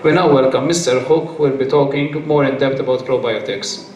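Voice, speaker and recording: A man serving as conference moderator, reading a guest's introduction in a formal, even manner. The echo of a large hall surrounds him, with a faint hum behind. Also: medium pitch, a little fast. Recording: echoey hall with faint noise.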